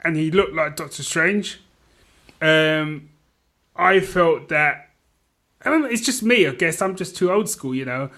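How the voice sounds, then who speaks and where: A man's voice: a little high, a little slow, pauses often, pushed and bright. A man co-hosting a podcast, chatting casually about films in a rambling, conversational way. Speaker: podcast co-host. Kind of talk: casual film chat.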